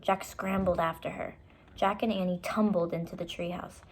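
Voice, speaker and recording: A woman's voice: on the low side, steady tone, moderate pace, low-quality recording. A woman storyteller narrating a children's book, with short explanatory asides for young listeners.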